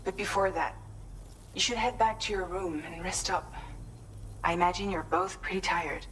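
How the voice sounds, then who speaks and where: Actress as a low-pitched, supportive female teammate. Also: normal speed, a bit bright, a little hiss or hum, lightly acted, low-quality recording. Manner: encouraging, instructive. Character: supportive female mission teammate guiding a courier. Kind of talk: scripted game dialogue.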